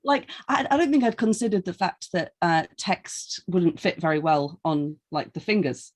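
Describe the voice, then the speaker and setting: A woman co-hosting a podcast, chatting playfully and reading out listener questions. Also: fairly low pitch. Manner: playful, quick to laugh, reads questions aloud. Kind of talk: casual conversational chat.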